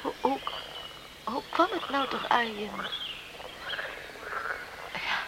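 Young village sweetheart: high, emotional, loving, radio play style on a noisy old recording. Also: female voice, expressive, slow pace. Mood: anxious, tender. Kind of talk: Dutch radio play acting.

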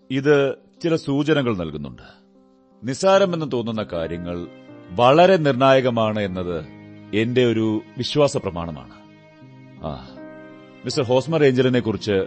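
Give the part to a shrewd male detective in a radio play. His mid-pitched voice moves widely up and down as he probes with measured, deliberate questions. Music plays behind him. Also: big pitch swings, darkish tone. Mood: thoughtful. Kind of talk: radio drama acting. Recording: radio drama with background music.

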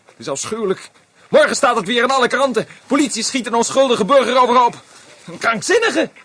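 A man's voice: very high-pitched, animated, fairly quick, fairly bright tone, husky, no echo, voice pushed up, full character voice. A man reading aloud and voicing a flustered male police officer who talks in short, agitated bursts. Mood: dismayed.

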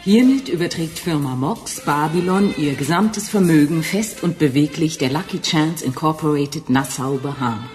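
A woman acts a cool femme fatale schemer in a sci-fi radio drama. Her voice is slightly low, poised and falsely polite. Music plays faintly underneath. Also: moderate pace, few pauses, a little mellow, lightly acted. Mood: businesslike. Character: cool, seductive, scheming female mastermind posing as secretary. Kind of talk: German radio play acting.

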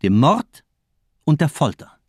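An actor playing a fanatical, cold-blooded doctor and torturer in a crime radio play, declaiming in a grandiose, rhetorical way. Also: male voice, on the high side, sing-song, measured pace, husky, dry recording, clean studio sound, full character voice. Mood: impassioned, cruel. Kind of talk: dramatic radio play monologue.

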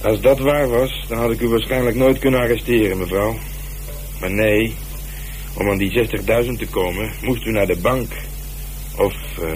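An actor playing a methodical police detective in a radio drama, talking in a measured, colloquial, dryly ironic way. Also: male voice, on the low side, natural voice. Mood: coolly insistent. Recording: noisy radio play recording, heavy background noise.

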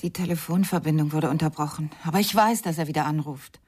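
A middle-aged mother in a radio crime drama, anxious and determined. Her voice is fairly low and husky. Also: fast, a little mellow. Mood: stubbornly hopeful. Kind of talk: 1990s German radio crime drama acting.

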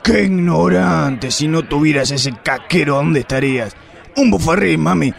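Gravelly sleazy inspector with a Rioplatense accent, blustering and vulgar, a man acting him. community radio drama. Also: a little high, measured pace. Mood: boastful. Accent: Rioplatense Spanish. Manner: blustering, melodramatic, vulgar outbursts. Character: crude boastful corrupt male police inspector.

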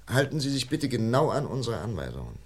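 An actor playing a seasoned, dry-humoured police inspector in a German radio crime drama, firm and in command with pensive, clipped speech. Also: male voice, mid-range voice, very animated, fairly quick. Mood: calm, courteous. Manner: clipped questions, pensive hums, authoritative.

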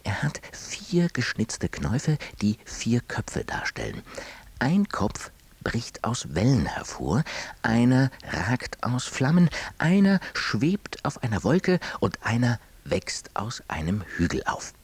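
An actor playing a solemn, excited live commentator at a state ceremony in a radio play, with a husky, hushed voice. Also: male voice, mid-range voice, takes it slowly, exaggerated voice. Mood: reverent excitement.

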